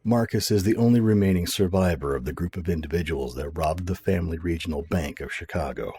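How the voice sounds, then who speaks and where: A man's voice: low-pitched, brisk, mellow, slightly rough. A man narrating a scripted audio drama as its storyteller.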